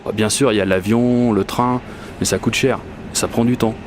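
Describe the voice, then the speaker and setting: A man's voice: fairly low pitch, steady tone, moderate pace, background noise, lightly acted. A man playing an obsessive, whimsical dreamer in a first-person radio fiction monologue, speaking in a confiding, colloquial, self-mocking way. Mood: confiding, determined. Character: obsessive, whimsical Parisian man confiding a magical commuting quest. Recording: urban and train ambience behind voice.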